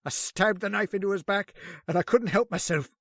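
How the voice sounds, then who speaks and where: An actor playing a guilt-stricken older uncle who confesses to murder, stammering and panicked, in old-time radio drama style. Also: male voice, sits high, brisk, a bit bright, no echo, studio quality. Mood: anguished confession.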